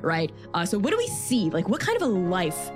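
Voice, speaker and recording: Female game master, mid-pitched, conversational storytelling, music behind her. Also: wildly swooping pitch, moderate pace. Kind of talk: improvised game narration.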